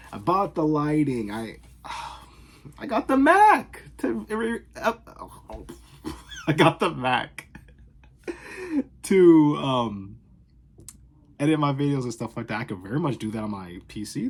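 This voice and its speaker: A young man from the Boston area, vlogging in casual, sarcastic rambles that swing from loud outbursts to whispers, recorded on his phone in his bedroom. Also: medium pitch, big pitch swings, takes it slowly. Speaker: fragrance vlogger. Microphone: phone camera.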